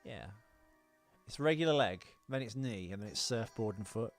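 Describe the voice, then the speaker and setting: A man's voice: fairly low pitch, expressive, moderate pace. A man co-hosting a podcast, explaining trivia and bantering in a crude, teasing, casual way. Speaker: podcast co-host. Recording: music playing behind. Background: music.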